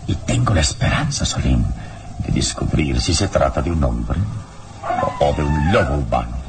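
An actor playing a calm, watchful heroic adventurer in a radio serial, speaking in a solemn, deliberate manner. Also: male voice, very deep voice, noisy background. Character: calm, watchful heroic adventurer mentoring his young companion. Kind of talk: old radio serial acting.

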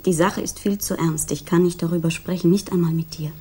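An actress playing a grief-stricken, loyal young fiancée, soft, breathy and subdued, in a 1960s German radio crime drama. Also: female voice, on the low side, steady tone, brisk. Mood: troubled, subdued. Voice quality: soft, breathy, often near whisper. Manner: hesitant, subdued.

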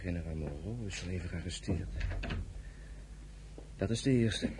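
An actor playing a calm, wary, protective general and husband in an understated, measured radio drama style. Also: male voice, deep voice, close to an everyday voice. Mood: guarded. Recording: noisy radio drama with clicks.

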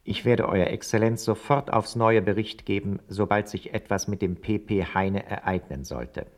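A man's voice: lowish voice, normal speed, lightly acted. An actor playing a servile informant who narrates his formal report in ornate for a radio play. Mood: deferential.

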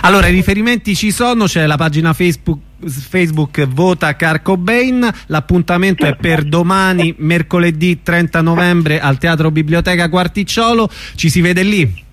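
A man's voice: high-pitched, moderate pace, few pauses, a bit bright, dry recording. A man hosting a casual radio interview, chatting informally with his guests. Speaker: radio host.

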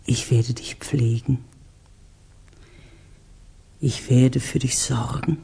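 A man acting in a radio drama as a devoted caregiver, murmuring intimately in a breathy half-whisper. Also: medium pitch, steady tone, slow, warm tone, full character voice. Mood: tender, hushed.

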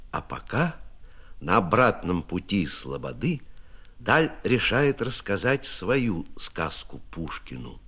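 A man with a deep voice narrates a scripted radio biography. His intonation swings widely and livens the deliberate, declarative storytelling. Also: a bit bright.

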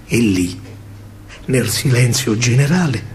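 A man with a Roman accent performs a theatrical verse monologue as a soldier recalling wartime, in measured, weighted phrases. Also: medium pitch, expressive, a little mellow, a little hiss or hum. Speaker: actor and storyteller. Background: music.

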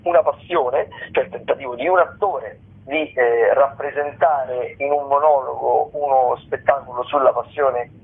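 A man hosting radio arts listings in a semi-improvised, halting way, often correcting himself. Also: mid-range voice, steady tone, vocal fry, husky, narrow, tinny audio. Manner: hesitant, halting delivery with self-corrections. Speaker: radio host presenting theatre listings. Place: radio studio.

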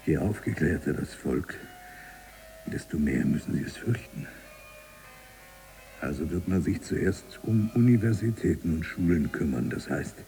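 Very deep, gravelly embittered libertine. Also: male voice, mellow, heavily acted, poor audio. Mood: scornful, ominous. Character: embittered imprisoned male libertine writer with revolutionary zeal. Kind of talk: literary radio drama monologue.

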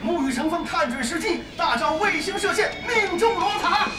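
A woman playing a polished, energetic esports commentator in an audio drama, heard as a broadcast over speakers. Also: extremely high pitch. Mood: excited. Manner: brisk, professional, excited. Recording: roomy, distant, loud music behind.

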